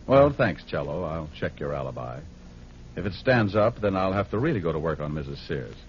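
A man's voice: low voice, big pitch swings, brisk. An American man playing a cocky, hard-boiled private detective with a glib, sardonic delivery in 1940s radio drama style. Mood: tough. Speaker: lead actor narrating and playing the detective. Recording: muffled, noisy old radio recording.

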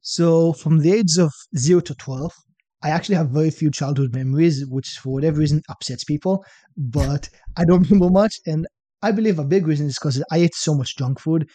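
A young man from Israel with an Israeli accent, chatting as a podcast guest and telling stories in a relaxed way, often ending points with "right?". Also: fairly high pitch, a little fast, no room sound. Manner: relaxed storytelling, tags statements with "right?". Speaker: podcast guest, fitness coach. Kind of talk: casual interview chat.

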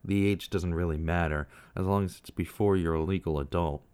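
A man's voice: sits low, fairly even intonation, brisk, a little mellow, clear, resonant tone. Earnest young man narrating a podcast. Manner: reflective, questioning, earnest. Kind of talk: scripted true-crime podcast narration.

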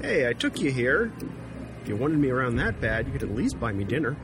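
A man's voice: medium pitch, sing-song, fairly quick. An actor playing a cheeky, streetwise male informant in a sci-fi audio drama, flippant and given to whining protest. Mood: grudging, flippant. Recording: loud music under the dialogue.

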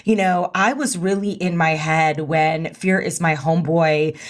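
A woman hosting her own podcast in an energetic, slangy, emphatic monologue, her voice a little hoarse. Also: sits low, unhurried. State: slightly hoarse. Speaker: podcast host.

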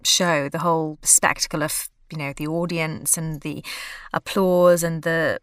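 A woman's voice: low-pitched, fairly even intonation, unhurried, clear, resonant tone, no echo, clean studio sound. An actress from Australia, chatting in an interview, laughing often and restarting her sentences. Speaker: actress and voiceover artist, interview guest. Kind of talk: casual interview conversation.

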